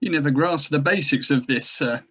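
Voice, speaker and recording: A man's voice: on the high side, moderate pace, a bit bright, slightly rough. A man playing an eccentric doctor in his mid-sixties, pensive and gleeful by turns, in a scripted table read. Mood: breezy, condescending. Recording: dull, muffled lo-fi microphone.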